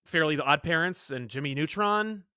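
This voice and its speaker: A man with a fairly high, bright voice talks casually about pop culture as a podcast co-host. He tells things in an animated way and slips into acted quotes. The sound is dry, with no room on it. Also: moderate pace, clear, resonant tone, no echo.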